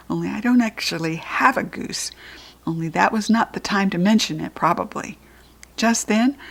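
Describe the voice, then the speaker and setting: An American woman reads a children's chapter book aloud in a voice of ordinary pitch for a woman. She leaps up into an excited, high-pitched voice for the child's quoted lines. She sinks to soft, breathy murmurs for the quiet asides. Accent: General American.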